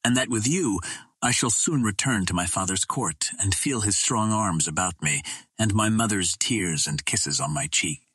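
Storybook Martian princess, read by a man: proud, tender, formal, gentle, with a husky edge. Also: fairly low pitch, normal speed, no room sound. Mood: tender, thoughtful. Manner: formal, gentle, thoughtful phrasing.

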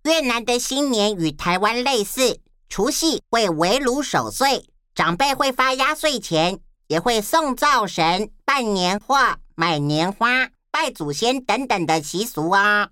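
A woman's voice: on the high side, animated, a little slow, hardly any pauses, sharp tone, clear, resonant tone, dry recording, clean studio sound. A woman presenting and explaining things for a children's podcast.

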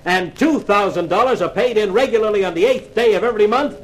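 An actor playing a cocky, sardonic newspaper columnist in a 1950s radio detective drama, with a wry, clipped delivery. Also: male voice, high voice, fast, a little hiss or hum, lightly acted, poor audio. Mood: pressing. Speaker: actor playing the lead and narrator.

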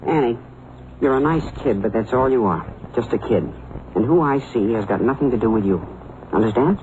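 A man's voice: medium pitch, expressive, fairly quick, lightly acted. A man playing a bitter, defensive young jockey, curt and sarcastic, in an old radio drama. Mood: cold, curt. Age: young adult. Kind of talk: 1950s radio drama acting. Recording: muffled, hissy old radio recording.

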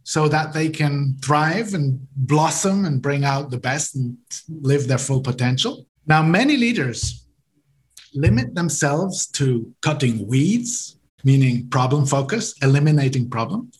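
A man's voice: on the high side, animated, measured pace, poor audio. German-speaking Swiss man explaining. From Switzerland. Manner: explanatory, uses garden metaphors, repeats words. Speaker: podcast guest, leadership coach. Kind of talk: interview conversation.

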